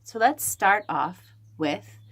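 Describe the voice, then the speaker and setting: A woman's voice: mid-range voice, lively intonation, slow, a bit bright. A woman hosting a children's read-aloud, reading a picture book and chatting to the listener about the pictures. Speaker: host of a children's read-aloud library show.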